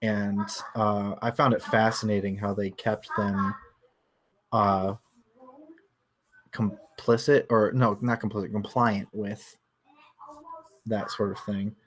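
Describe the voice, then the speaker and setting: A man's voice: fairly low pitch, little pitch movement, slow pace, long pauses, fairly bright tone. A man in a casual livestream book discussion, explaining things analytically and feeling his way through his thoughts.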